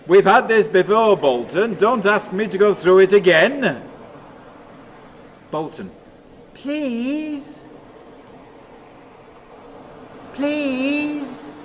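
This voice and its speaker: A slow, high-voiced tormented older man. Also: a little hiss or hum. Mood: pleading, agitated. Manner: halting, fragmented, swinging from hushed to anguished cries. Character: tormented, haunted older man telling himself stories. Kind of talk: radio play dramatic monologue.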